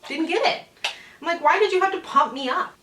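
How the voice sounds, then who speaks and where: A woman's voice: very high voice, fairly quick, sharp tone, slight background noise. A young woman from Newfoundland, Canada, chatting casually and self-deprecatingly as she hosts a get-ready-with-me beauty vlog. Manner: informal, self-deprecating, giggly asides. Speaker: beauty vlogger, host. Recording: roomy echo, distant mic.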